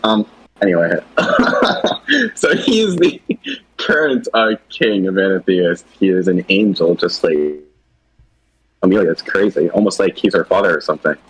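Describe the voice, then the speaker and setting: A young man co-presenting an animated series project in a casual, joking, slangy and teasing chat. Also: low voice, unhurried, slightly rough, low-quality recording.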